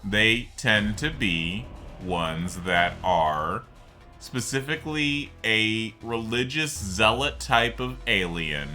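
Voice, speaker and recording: A man's voice: lowish voice, expressive, takes it slowly, sharp tone, soft background music. A man giving unscripted game commentary, explaining things in a rambling way and circling back to restate his points. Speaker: gameplay video host.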